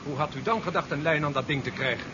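An actor playing a brisk, practical naval subordinate who questions his orders, in a 1970s science fiction radio drama. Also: a little high, close to an everyday voice. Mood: doubtful. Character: brisk, doubting male naval subordinate officer. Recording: dull, noisy 1970s radio recording.

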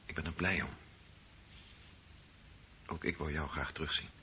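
An actor playing an earnest, troubled clergyman in a radio drama, speaking gravely and haltingly as he pleads. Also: extremely low pitch, steady tone. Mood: tender, earnest. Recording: narrow phone-like band, hissy old recording.

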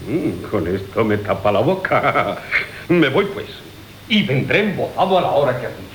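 A man's voice: medium pitch, very animated, moderate pace, fairly bright tone. A man playing a touchy, blustering ruffian lover in a classical radio comedy. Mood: swaggering. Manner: blustering, grandiose. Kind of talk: Spanish classical comic radio theatre acting. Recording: roomy, hissy 1980 radio broadcast.

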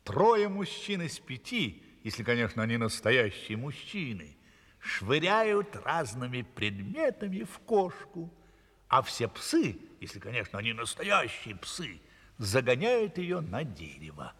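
Animated, husky male storyteller. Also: mid-range voice, unhurried. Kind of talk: expressive fairy-tale narration. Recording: long hall-like reverb.

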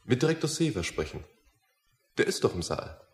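A man's voice: medium pitch, sing-song, close to an everyday voice. An actor playing a blunt, self-important and faintly menacing male visitor in a satirical radio play. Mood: brusque. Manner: blunt, deliberate, haughty.

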